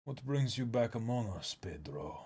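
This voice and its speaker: A man's voice: medium pitch, very animated, normal speed, creaky, darkish tone, breathy edge, full character voice. A man voicing a pompous male knight who drops in French words, reading formally with some stumbles.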